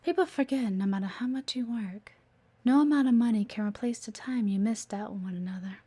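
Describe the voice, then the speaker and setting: A woman's voice: fairly high pitch, brisk, dark tone, slightly rough. A woman playing a playful, teasing, observant executive assistant in an intimate one-sided audio roleplay. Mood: fond, reflective. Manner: coy, teasing, chatty, with murmured asides.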